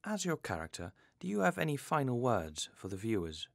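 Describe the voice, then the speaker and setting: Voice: mid-range voice, sing-song, brisk, creaky, no echo, studio quality. An interviewer reading scripted questions and announcements.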